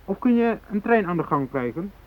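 An actor in a radio drama playing a fanatical, unyielding hijacker who speaks in cold, threatening declarations. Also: male voice, sits high, big pitch swings, a little fast. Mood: stern, commanding. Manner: declarative, threatening, ideological speechmaking. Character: fanatical South Moluccan hijacker, unyielding, cold, righteous militant. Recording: thin phone-like radio drama recording.

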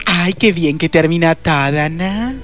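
A woman's voice: low-pitched, measured pace, over music. An Argentine woman playing an eager, curious and exclamatory detective's assistant in a radio drama. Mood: inquisitive. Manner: exclamatory, questioning.

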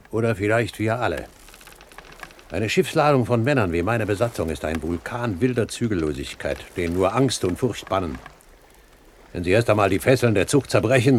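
A man's voice: lowish voice, close to an everyday voice. Commanding, cold-blooded older pirate captain played by a man. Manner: eloquent, composed, authoritative. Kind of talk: German radio play acting. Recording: noisy 1970s radio production.

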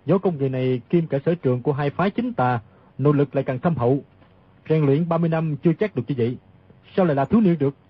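Learned, troubled martial-world physician for radio drama; formal, brisk, raspy, slightly dull sound. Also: male voice, fairly high pitch, dry recording. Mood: astonished. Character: learned physician, proud man humbled and troubled. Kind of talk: dramatised audiobook reading.

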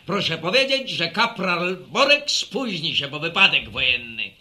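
A man playing a boastful, easygoing villager who spins tall tales in a folksy, animated way in a radio drama. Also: fairly high pitch, measured pace, heavily acted. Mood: animated storytelling.

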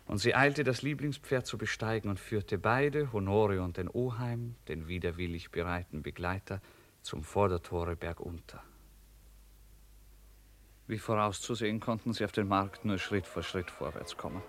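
Male narrator for literary radio drama, low register, scripted storytelling delivery. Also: normal speed, a bit bright.

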